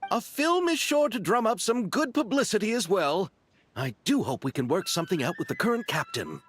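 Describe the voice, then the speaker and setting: A man playing a polite, excitable film director with a flowery, dramatic way of talking in a video game cutscene. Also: sits high, a little fast, faint music behind.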